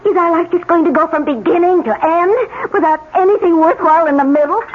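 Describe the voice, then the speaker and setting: A woman's voice: very high voice, big pitch swings, normal speed. An American woman acting a weary, fretful housewife and mother in her forties in a 1970s radio drama, plaintive and hesitant. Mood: distraught. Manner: plaintive, self-pitying, hesitant. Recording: muffled, noisy 1970s radio recording.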